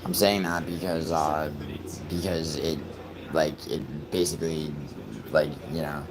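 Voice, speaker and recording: A man from New York City streaming live, chatting casually and cursing in excitable outbursts between mumbled fillers. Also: a bass voice, a little slow, background noise. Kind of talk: casual live stream chat, reacting to soccer and reading chat.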